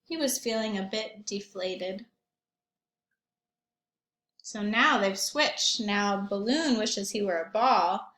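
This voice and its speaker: Teacher lady doing a kids read aloud, slow n expressive, echoey room. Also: mid-range voice. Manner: expressive, simple child-directed phrasing. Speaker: teacher reading aloud to young children. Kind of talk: storybook read-aloud with questions and comments. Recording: roomy echo.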